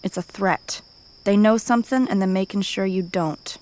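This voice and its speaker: A woman's voice: mid-range voice, normal speed, a little mellow, slight background noise, natural voice. A woman playing a tough, wary thief queen and tracker in an audio drama, speaking tersely and matter-of-factly. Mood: wary, firm. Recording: faint night insect ambience.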